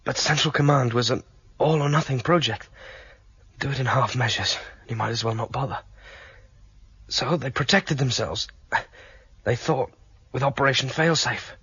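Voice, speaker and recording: A man's voice: mid-range voice, normal speed, sharp tone, slightly rough, no room sound, full character voice. An actor playing a determined male rebel who exposes a ruling computer, speaking in an urgent, persuasive way. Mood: explaining. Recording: dull, dry lo-fi radio drama recording.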